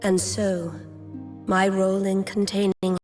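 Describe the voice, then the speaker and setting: Fantasy game queen, female actor: ethereal, tender and solemn, unhurried formal speech over prominent music. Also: mid-range voice, little pitch movement, darkish tone, lightly acted. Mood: resigned. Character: otherworldly, loving, remorseful queen bidding farewell. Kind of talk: theatrical fantasy game acting.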